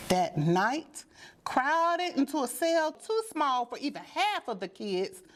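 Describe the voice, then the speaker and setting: Slow, high-pitched woman reading a children's story. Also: big pitch swings, a little hiss or hum. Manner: deliberate, dramatic phrasing. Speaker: storybook reader. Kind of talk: expressive children's read-aloud narration.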